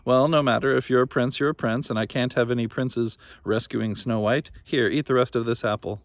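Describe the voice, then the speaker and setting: A man voicing a croaky, bossy old witch in a children's storybook. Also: mid-range voice, steady tone, a little fast, dry recording, close to an everyday voice. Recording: noticeable background noise.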